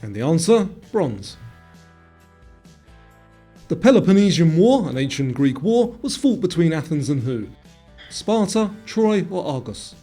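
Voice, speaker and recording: A man delivering scripted quiz narration in a measured, presentational way, setting out questions and answers. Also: a little high, big pitch swings, dark tone. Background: guitar music.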